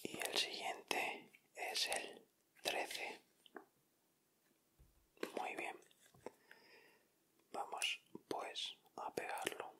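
A man with an accent from Spain, speaking in a breathy, unvoiced whisper as he gives casual ASMR commentary. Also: extremely slow, long pauses, sharp tone. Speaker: ASMR video host. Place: indoors at a table.

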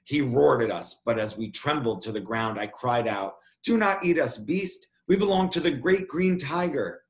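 A man voicing a frightened, flattering little girl in a storybook, pleading and telling her tale dramatically. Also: on the low side, normal speed, vocal fry. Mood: dramatic, pleading. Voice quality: quivering.

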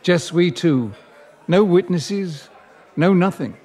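A man's voice: high-pitched, slow, mellow. An actor playing an earnest, lovelorn, middle-aged man in an audio drama, courteous and hesitant in manner. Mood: confessional.

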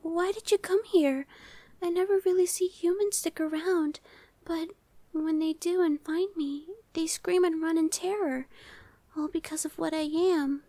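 A woman voicing a timid, anxious young female gargoyle in an ASMR roleplay, soft, girlish, hesitant and stammering. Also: very high voice, fairly even intonation, unhurried, no room sound. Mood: shy, hesitant. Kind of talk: ASMR audio roleplay acting.

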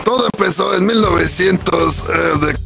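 A man voicing a lecherous, irritable priest in a comedic anime parody dub, gravelly, theatrical and prone to stammering and flirting. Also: sits high, normal speed, noisy background. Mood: preachy.

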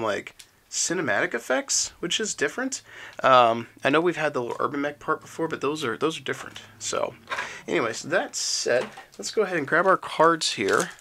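A man's voice: medium pitch, creaky. A man with a stuffy, crackly voice from a cold, casually chatting through an unboxing of game miniatures and cards. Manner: relaxed, chatty, lots of ums, sound effects like "shwoop". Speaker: miniatures hobby channel host. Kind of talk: unboxing and card review.

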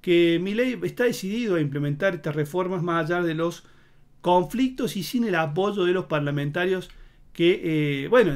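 A man in his thirties with a Córdoba Argentine accent, giving rambling commentary to camera with many fillers and restarts. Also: high-pitched. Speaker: political commentator. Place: indoor home studio in front of a green screen.

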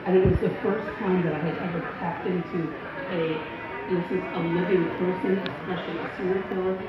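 A high-pitched man tells a rambling, earnest story to an audience. He is heard from across a very echoey convention hall, with a loud crowd chattering around him. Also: fairly even intonation, brisk, recorded from a distance, lo-fi sound. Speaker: psychic medium giving a talk. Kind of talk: public talk to an audience.

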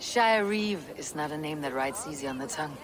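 A woman voicing a bold female Viking warrior in a video game, direct and measured in a formal way. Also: deep voice, animated, background noise. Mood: confident, cordial. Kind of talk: dramatic video game cutscene acting.

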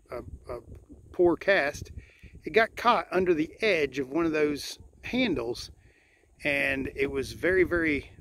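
Chatty man vlogging from a kayak. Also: fairly high pitch. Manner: chatty, rambling with fillers and restarts. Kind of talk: casual first-person vlog. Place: outdoors on a lake in a kayak. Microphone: point-of-view action camera on the body. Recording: outdoor ambience with clear noise.

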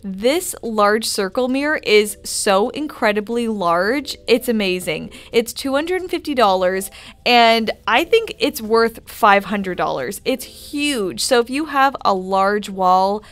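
A woman's voice: mid-range voice, takes it slowly. Chatty woman giving decor tips. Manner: upbeat, chatty recommendations. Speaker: interior designer. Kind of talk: shop-with-me voice-over.

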